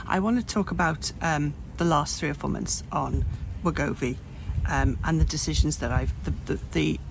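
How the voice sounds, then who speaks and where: A woman's voice: low-pitched, normal speed, gravelly, noisy background. A woman of fifty-eight speaking candidly in a personal vlog, rambling and often restarting her sentences. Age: 50s. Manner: rambling, frequent restarts and self-corrections. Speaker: vlogger. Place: home, hot room.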